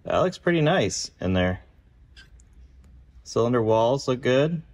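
A man in his thirties hosting a car restoration video, calmly and methodically explaining his work in a large indoor garage. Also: medium pitch, slow. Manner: calm, methodical, matter-of-fact. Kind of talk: explanatory workshop walkthrough.

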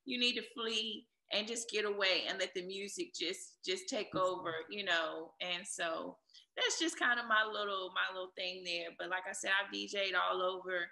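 A woman speaks as a guest in a casual interview. Her voice is strikingly bright and sharp, at a middling pitch. She talks in an encouraging, motivational way, and the sound is noticeably echoey. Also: steady tone, normal speed, gravelly. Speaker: interview guest, air traffic controller and DJ. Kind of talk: casual conversational interview answers.

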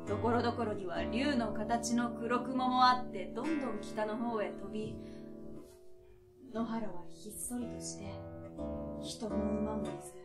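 High-voiced young woman storyteller, roomy and distant. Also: steady tone. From Tokyo, Japan. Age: 20s. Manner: theatrical, deliberate narration. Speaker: stage storyteller. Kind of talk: expressive literary recitation. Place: small indoor stage. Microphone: far camera. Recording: roomy stage, distant mic, harp music. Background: plucked harp music.

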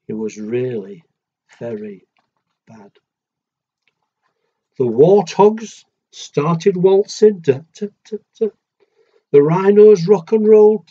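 A man in his sixties reading a children's picture book aloud, expressive and drawn-out, with asides to young listeners. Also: fairly high pitch, slightly rough, muffled recording. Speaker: storyteller. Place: small wooden shed, indoors.

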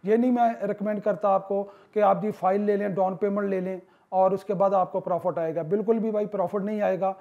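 A man, a real estate consultant giving a persuasive promotional voice-over with many hesitant "uh" pauses. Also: high-pitched, fairly even intonation, vocal fry, muffled recording.

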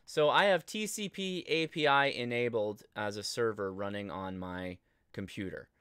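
An American man in his thirties talking through a casual livestream tutorial, conversational and halting as he thinks aloud. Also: on the low side, sharp tone, smooth, no room sound, clean studio sound. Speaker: livestream host and ham radio instructor. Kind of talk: casual live tutorial with chat replies. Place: indoor home studio desk, livestream.

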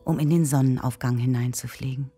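A woman with a low, husky voice narrating a scripted children's audio play as storyteller. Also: steady tone, fairly quick, music in the background.

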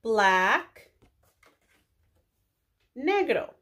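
A young woman with a slightly high voice teaches preschoolers. She goes very slowly, enunciating each word and putting simple questions to the children. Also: very animated, fairly bright tone. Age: young adult. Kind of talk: children's lesson, addressing kids, English with some Spanish words.

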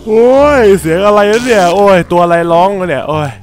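Casting: high-voiced man, colloquial and exclamatory, improvised game roleplay, music underneath. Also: animated, a little fast. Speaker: creator voicing characters in a Minecraft roleplay video.

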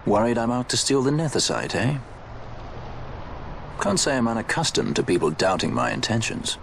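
An actor playing a suave, self-assured young sky pirate with a wry, nonchalant manner in a video game. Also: male voice, medium pitch, huge pitch swings, moderate pace, creaky, noisy background. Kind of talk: video game cutscene acting. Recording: music behind the voice.

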